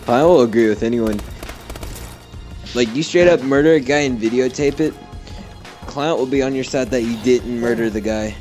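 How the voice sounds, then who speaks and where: Giggly teen boy co-player, middle pitch, faint game music behind. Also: normal speed, pauses often, darkish tone. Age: teenager. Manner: giggly, joking. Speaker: co-player friend. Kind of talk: casual gaming chat.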